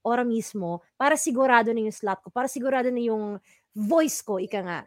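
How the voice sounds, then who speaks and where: Filipino female DJ co-host, upbeat and giggly, high register, dry studio sound. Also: quick pace, no room sound. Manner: bubbly, laughs often, mixes Filipino and English. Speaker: radio DJ co-host. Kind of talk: casual talk-show banter.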